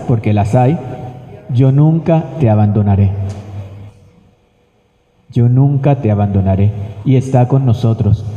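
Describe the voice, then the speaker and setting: A man's voice: medium pitch, warm tone. Earnest Colombian man in an echoey hall. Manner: slow, earnest, repeats phrases for emphasis. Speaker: retreat speaker. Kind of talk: prayer and spiritual talk. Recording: echoey, muffled hall recording.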